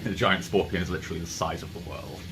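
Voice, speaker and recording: A man's voice: low voice, fairly even intonation, fast. A man talking casually as a player in a tabletop roleplaying game. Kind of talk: casual chat. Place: in-person game session in a room. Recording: clear background room noise.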